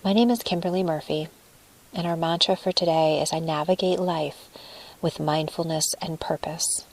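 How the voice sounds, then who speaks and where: A woman with a low voice guides a meditation. Her delivery is soft, breathy and soothing, with very little melodic movement. She places each phrase deliberately and leaves room between them. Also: fairly even intonation, rough recording.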